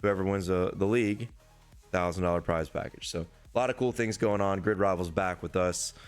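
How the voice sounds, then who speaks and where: A hoarse man, a young adult, hosting a casual sports-betting preview and swearing freely in a loose, rambling way. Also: sits low, normal speed, faint music behind. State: hoarse, losing his voice. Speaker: sports betting podcast host.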